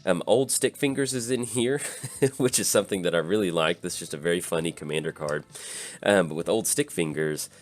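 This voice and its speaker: A tired man with a slightly raspy voice, hosting and casually commenting on his own card game as he plays, thinking aloud with lots of pauses. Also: low voice. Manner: easygoing, thinks aloud with many filler pauses. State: tired from little sleep. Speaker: channel host.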